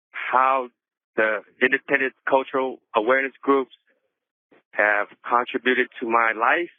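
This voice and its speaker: A middle-aged man from the Seattle area giving personal testimony in a halting way, full of "you know" fillers. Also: mid-range voice, no echo. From Seattle area, USA. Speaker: incarcerated cultural group member. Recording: tinny phone line.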